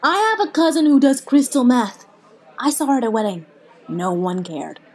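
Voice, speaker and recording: An actress playing a brash, blunt comedian friend in a naturalistic audio drama, sarcastic, punchy and profane. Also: female voice, high-pitched, expressive, normal speed, darkish tone. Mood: blunt, incredulous.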